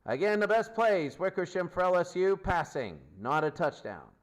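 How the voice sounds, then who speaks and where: A man's voice: high-pitched, very animated, normal speed. A man giving an unscripted sports stats recap as host, listing figures in short, clipped phrases. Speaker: sports show host and commentator.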